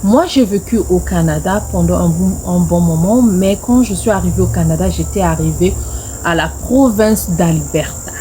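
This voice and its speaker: A woman's voice: fairly low pitch, normal speed, dark tone, slight background noise, poor audio. A middle-aged woman with a Congolese French accent tells a personal story casually, repeating phrases for emphasis. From Congo-Brazzaville. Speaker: video storyteller.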